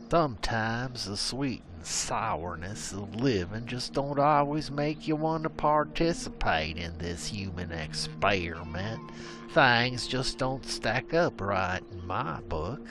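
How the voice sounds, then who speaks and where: A man narrating a frontier legend as a scripted story, with rustic, old-timey storyteller phrasing. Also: medium pitch.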